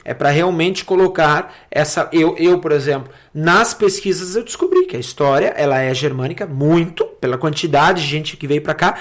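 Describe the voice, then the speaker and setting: A man with a southern Brazilian accent from Rio Grande do Sul explains things conversationally as an interview guest, haltingly, repeating words and often checking for agreement. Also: fairly high pitch. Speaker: podcast guest, city councillor.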